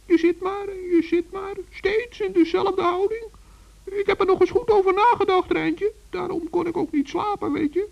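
A man voicing a small old gnome, gentle, shy and dithering, in a children's radio play. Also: very high-pitched, steady tone, normal speed, gravelly, voice pushed up. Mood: pitying, earnest. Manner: dithering, repeats himself, talks in diminutives. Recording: dull old radio recording.

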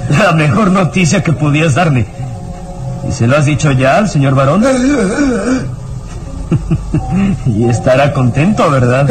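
An actor playing a gaunt, nervous, wickedly gleeful villain in an old radio serial melodrama, with a gloating, sinister manner. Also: male voice, a little high, low-quality recording. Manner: gloating, sinister, breaks into cackling laughter. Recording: radio drama with background music. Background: dramatic music.

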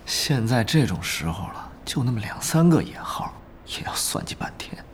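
A man's voice: medium pitch, big pitch swings, moderate pace, lightly acted. A man as a weary, half-whispering guild officer. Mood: weary, disdainful. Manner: sighing, muttering. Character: weary, resigned young male guild officer, sighing. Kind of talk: Chinese audio drama acting.

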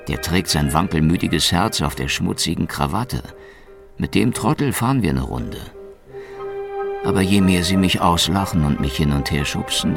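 An actor playing a cynical, lovesick, middle-aged Soviet investigator in a noir radio drama, with a gravelly voice and a sardonic, dry manner. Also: male voice, rumbling low, heavily acted. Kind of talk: noir radio drama acting with inner monologue.

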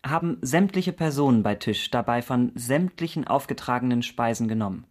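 Man acting formal, probing period investigator, medium-pitched. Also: lively intonation, moderate pace, a little mellow, natural voice. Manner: clipped, courteous, probing questions. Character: formal, persistent male investigator in 17th-century Paris. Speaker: actor in a crime radio drama. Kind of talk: German radio drama acting.

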